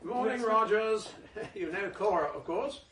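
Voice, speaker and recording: An actor playing an affable, assured gentleman doctor in a radio drama, genial and smoothly patronising. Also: high-pitched, unhurried.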